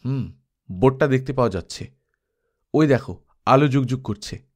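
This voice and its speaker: A man's voice: medium pitch, moderate pace, a little mellow, lightly acted. A man voicing an alert male adventurer, a reactive companion in an audiobook story. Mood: observant.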